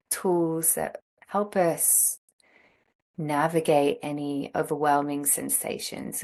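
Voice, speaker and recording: Slow, low-voiced woman guiding meditation. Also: gravelly. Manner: gentle, unhurried phrasing with long pauses. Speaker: meditation and yoga teacher. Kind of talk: guided meditation, slow instruction.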